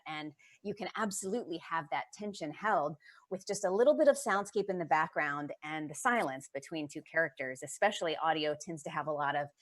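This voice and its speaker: A woman with a distinctly low voice talks as a guest on a panel. She is thoughtful and enthusiastic, unscripted, and puts weight on her key words.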